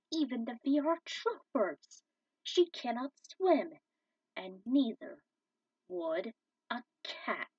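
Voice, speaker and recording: A woman's voice: a little high, husky, no echo, heavily acted. A woman voicing a menacing, theatrical male villain minion with a grandiose, taunting delivery, in a story. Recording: dull, distant microphone.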